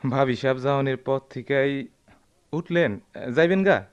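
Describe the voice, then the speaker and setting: An actor playing an anxious, deferential village peacemaker with a rural Bangladeshi accent in a radio drama, fussing and pleading. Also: male voice, medium pitch, moderate pace, clear, resonant tone, dull audio, lightly acted. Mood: placating.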